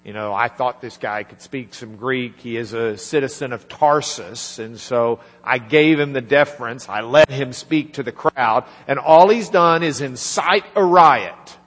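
A man preaching a sermon, retelling a scripture story in a conversational way and quietly acting out the lines of its people. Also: medium pitch, a little slow, few pauses. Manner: conversational, acts out dialogue in quiet asides. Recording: reverberant hall with mains hum.

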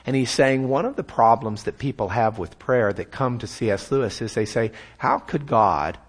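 A man's voice: mid-range voice, normal speed. A man with a Texan accent giving a folksy, self-deprecating church talk about a writer's conversion, often repeating words as he builds a point. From Texas. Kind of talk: sermon-style talk with slides.

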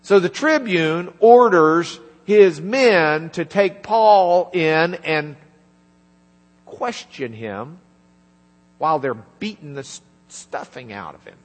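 Slow-talking preacher man in a reverberant hall. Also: a little high, lively intonation. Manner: conversational, acts out dialogue in quiet asides. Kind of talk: sermon retelling a scripture story. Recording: reverberant hall with mains hum.

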